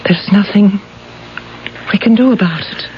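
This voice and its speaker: An actress playing a gentle, apologetic, middle-aged invalid wife in a 1970s radio drama, soft, plaintive and resigned. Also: female voice, medium pitch, measured pace, lightly acted. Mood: resigned, sad. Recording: dull old radio recording, faint noise.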